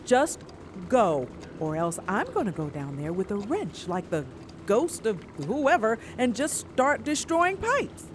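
An exasperated, sarcastic daughter in a naturalistic audio drama. She talks bluntly and casually in a highish, very lively voice, with clear room noise behind her. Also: takes it slowly. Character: exasperated, sarcastic daughter living in an old house.

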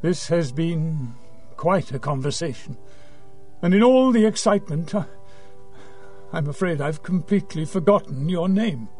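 High-voiced, earnest, unhurried priest. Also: male voice, lively intonation, darkish tone, soft background music. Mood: gentle, pastoral. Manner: measured, earnest, counseling. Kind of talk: audio drama acting.

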